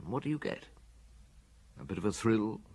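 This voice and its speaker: A man playing a weary, guilt-ridden, methodical middle-aged police chief in a radio drama, with a husky, measured delivery. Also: on the low side, big pitch swings, darkish tone, full character voice. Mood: weary, regretful. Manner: measured, reflective.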